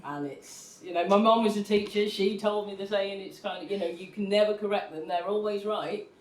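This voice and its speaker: A woman with children chatting in a group, speaking hesitantly and haltingly. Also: medium pitch, slight background noise. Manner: hesitant, halting, soft-spoken. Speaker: parent and community volunteer. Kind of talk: casual group discussion. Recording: roomy, mic at a distance.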